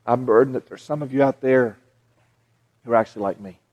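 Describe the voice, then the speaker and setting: A man's voice: mid-range voice, fairly quick, darkish tone, in a large hall, highs cut off. A man in his forties with a Southern American accent, preaching a folksy, conversational sermon to a live audience. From Southern United States. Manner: folksy, conversational, frequent restarts and asides to the crowd. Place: large hall with audience.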